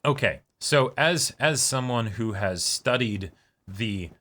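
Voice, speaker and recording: A man's voice: mid-range voice, lively intonation, slow, a bit bright. A man co-hosting a discussion show, explaining his points casually and analytically, often checking in with his listener. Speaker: co-host of an anime reaction and discussion show.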